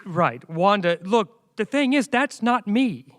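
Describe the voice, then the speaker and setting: An exasperated male computer programmer, played by a man in a comic radio play, heard in a reverberant hall. His protests come haltingly, with widely swinging intonation. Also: sits high, big pitch swings, a bit bright, heavily acted. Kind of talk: radio play comedy acting. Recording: echoey hall, live stage performance.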